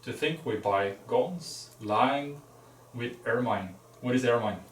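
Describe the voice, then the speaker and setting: Male amateur reader, slow and stumbling through old verse in a roomy, slightly distant recording. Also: medium pitch, animated, a little hiss or hum. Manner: halting, checking unfamiliar words. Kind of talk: reading old verse aloud. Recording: echoey, distant microphone.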